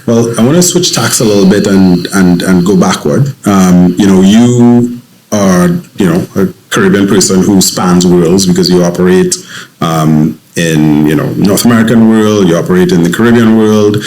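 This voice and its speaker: A man with a fairly low voice hosts an interview. He affirms his guest often and asks his questions in a loose way, full of fillers. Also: moderate pace, a little mellow, poor audio. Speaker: podcast host. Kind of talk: interview hosting.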